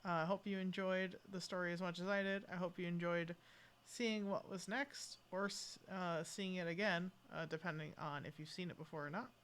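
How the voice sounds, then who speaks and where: A woman's voice: lowish voice, steady tone, moderate pace. A woman streaming a game live, chatting casually in a rambling, playfully dramatic way. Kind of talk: casual live gameplay commentary. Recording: game music behind the voice. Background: video game music.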